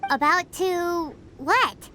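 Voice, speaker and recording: A woman voicing a small, excitable, cheeky childlike fairy companion in a video game, with a squeaky voice and exclamatory delivery. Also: extremely slow, over a noisy crowd, heavily acted. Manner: exclamatory, speaks of self in third person.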